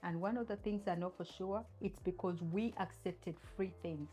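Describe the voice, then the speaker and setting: A woman's voice: lowish voice, moderate pace, mellow, husky, faint music behind. A woman from Uganda hosts her own channel and speaks straight to camera, making emphatic points and asking many rhetorical questions. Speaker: channel host. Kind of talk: opinion talk to camera.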